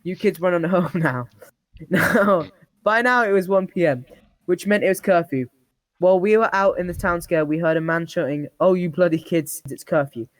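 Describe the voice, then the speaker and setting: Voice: medium pitch, normal speed, dry recording. Storyteller stumbling through a comic tall tale. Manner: often breaks into laughter, stumbles over words. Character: old-timer reminiscing about an absurdly harsh childhood.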